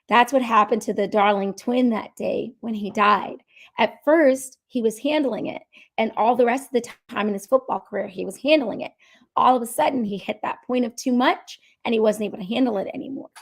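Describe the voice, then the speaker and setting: A woman with a cold and a hoarse voice, giving an explanatory medical talk at a seminar and repeating key points. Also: on the high side, normal speed. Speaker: medical speaker.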